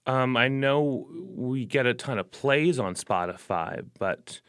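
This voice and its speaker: A man's voice: mid-range voice, takes it slowly, clear, resonant tone. A man, a working musician, answering interview questions casually, rambling with lots of verbal fillers. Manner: relaxed and rambling, full of "like" and "you know" fillers. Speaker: career musician, interview guest.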